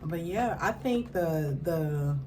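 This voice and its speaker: A woman's voice: on the low side, expressive, slow, warm tone, a little hiss or hum. A woman from Kansas City with a Kansas City accent, a radio show founder speaking as a guest in a casual interview, affirming and laughing often.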